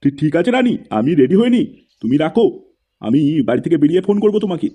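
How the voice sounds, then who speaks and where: Guy doing sly cartoon dad, middle aged, smooth talker, mellow and quick. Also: a little high, very animated. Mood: flirty. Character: middle-aged father, sweet-talking and evasive. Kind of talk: comedy cartoon dub.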